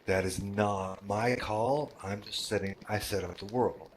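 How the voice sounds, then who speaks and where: Male roleplay host, deep voice, unhurried and halting, lots of fillers. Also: slight background noise. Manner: halting, with many fillers and restarts. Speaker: roleplay game world organizer. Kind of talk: casual talk to a roleplay group.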